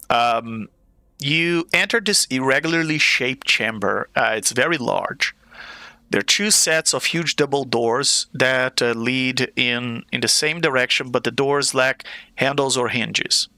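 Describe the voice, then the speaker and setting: A man's voice: mid-range voice, pushed and bright, dry recording. A man narrating as game master of a tabletop game, hesitant and full of fillers.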